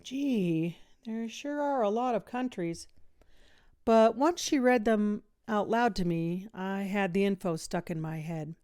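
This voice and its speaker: A woman reading a children's story aloud in expressive, measured, clearly phrased narration. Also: mid-range voice, no echo, studio quality. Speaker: audiobook reader, teacher.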